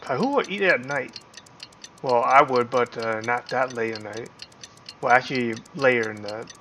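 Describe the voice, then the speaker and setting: A man's voice: mid-range voice, moderate pace, pushed and bright, slight background noise. A man chatting casually on a game livestream, rambling and thinking aloud. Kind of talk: casual livestream chat and reactions. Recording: game music behind.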